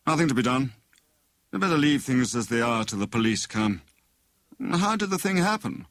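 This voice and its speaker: A man's voice: mid-range voice, lively intonation, normal speed, fairly bright tone, dry recording, studio quality. A man voicing a big, matter-of-fact British doctor with an authoritative, clipped manner in an audiobook. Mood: brisk, grave.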